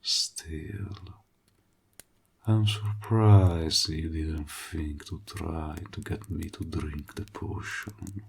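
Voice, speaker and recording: A seductive, dangerous warlock villain, acted by a man for roleplay audio. His low voice is full of heavy gravelly fry and crawls along very slowly. He sounds smug and possessive, teasing in a deliberate, intimate half-whisper. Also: slight background noise, full character voice. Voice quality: gravelly, husky, breathy voice.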